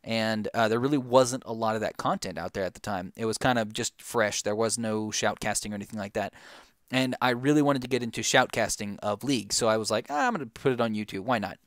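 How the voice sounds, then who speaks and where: A man's voice: on the low side, fast, no room sound, clean studio sound. A young man answering viewer questions in a casual, rambling way, with lots of fillers and restarts. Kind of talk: casual Q&A chat.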